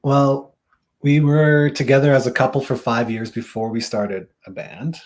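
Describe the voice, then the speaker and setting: Male musician guest, mid pitch, wry and plain-spoken. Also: steady tone, measured pace. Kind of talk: casual interview chat.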